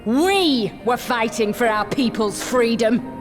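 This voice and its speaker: An actress playing a bitter, defiant young soldier of an empire in a dramatic game cutscene, scornful and often breathy. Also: female voice, fairly high pitch, lively intonation, takes it slowly, over music. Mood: bitter sarcasm. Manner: scornful, sneering, breaking into breathy whispers.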